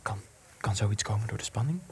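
A man's voice: on the low side, fairly quick, slight background noise, natural voice. An actor in a radio play, playing a tormented, ashamed resistance man who is a secret lover in hiding, earnest and intense. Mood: ashamed, troubled.

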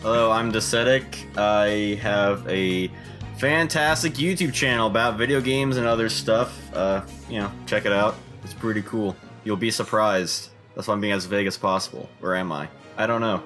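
Wry young man with a lowish voice. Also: moderate pace, a bit bright, faint music behind. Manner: wry, joking, explanatory. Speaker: podcast co-host. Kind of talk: casual podcast chat.

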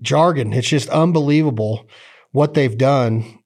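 A man's voice: mid-range voice, unhurried, a little mellow. A man hosting a podcast in a rambling, joking, folksy way, drifting into ad reads. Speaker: podcast host. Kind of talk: casual podcast chat and ad reads.

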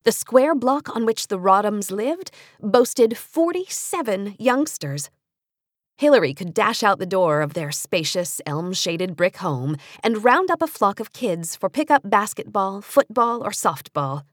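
An American woman reading nonfiction for young readers as an audiobook narrator, measured and carefully articulated, with an expressive storytelling delivery, close on a studio microphone. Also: mid-range voice, dry recording.

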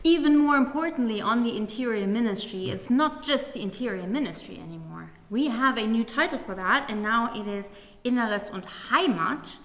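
A woman's voice: fairly high pitch, lively intonation, moderate pace, a bit bright. A woman giving explanatory commentary as a political analyst on a panel, emphatic and precise in how she explains. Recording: echoey, reverberant hall.

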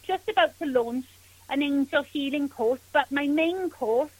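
A woman's voice: high-pitched, unhurried, poor audio. A woman with a Welsh accent, a spiritual healer speaking as a guest on a radio interview, earnest and gushing with thanks.

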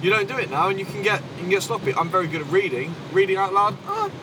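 A man's voice: high voice, animated, fast talker. A man from England's West Midlands with a West Midlands accent, co-hosting a casual chat and rambling with dry humour. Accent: West Midlands English. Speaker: podcast co-host. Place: inside a moving car. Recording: distant mic in a noisy car.

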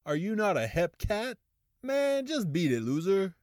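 A man's voice: sits high, very animated, a little slow, darkish tone, no room sound, studio quality. A man playing a cocky, jive-talking 1950s greaser in a spoof radio commercial. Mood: impatient, scornful. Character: cocky 1950s greaser hepcat, slick and impatient.

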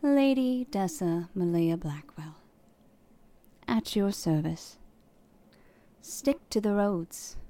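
A woman's voice: mid-range voice, darkish tone, no echo. A woman voicing a guarded, haughty noble lady with a cool, formal manner, as a fantasy game character. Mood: guarded, hushed.

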